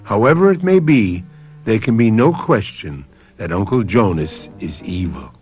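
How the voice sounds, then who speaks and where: An actor playing a brooding mystery theater host, narrating a radio drama in a deliberate, theatrical way. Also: male voice, on the low side, big pitch swings, darkish tone, faint music behind. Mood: solemn.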